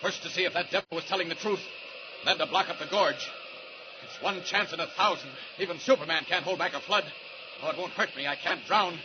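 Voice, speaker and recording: Man playing an urgent, high-voiced radio superhero. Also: fairly quick, close to an everyday voice. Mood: urgent resolve. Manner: urgent, self-narrating, effortful. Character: determined heroic male superhero racing to save lives. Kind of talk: 1940s radio serial acting. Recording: muffled, noisy old radio recording.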